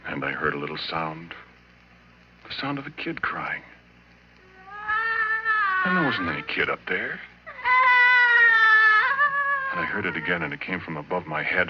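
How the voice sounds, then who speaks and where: Low-pitched working-class oil roughneck, haunted, confiding, colloquial, vintage horror radio drama, muffled lo-fi sound. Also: male voice, wildly swooping pitch, moderate pace, pauses often, noisy background, exaggerated voice. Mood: hushed dread. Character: haunted working-class oil rig roughneck telling his grim story. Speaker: narrator and lead character. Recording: dull lo-fi vintage radio recording.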